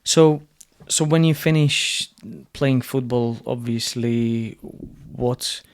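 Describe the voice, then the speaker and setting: A man's voice: mid-range voice, slow pace, clean tone, dry recording. A man hosting a podcast, asking questions and backing up his guest with short affirmations. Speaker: podcast host. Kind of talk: casual interview.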